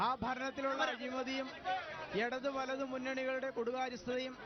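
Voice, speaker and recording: Very high, monotone male politician amid crowd. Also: moderate pace. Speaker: political party representative. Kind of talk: formal political statement. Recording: muffled, loud outdoor crowd.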